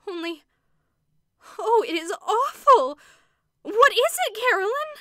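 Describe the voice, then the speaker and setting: A woman's voice: on the high side, big pitch swings, fairly quick, no room sound, clean studio sound. A woman voicing a frightened older woman who stammers in horror. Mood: scared. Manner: stammering, scared.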